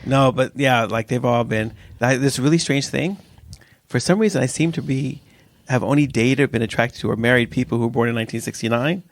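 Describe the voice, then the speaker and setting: A man's voice: mid-range voice, a little fast, no echo. A middle-aged man co-hosting a podcast, joking and opinionated in casual banter. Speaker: podcast co-host.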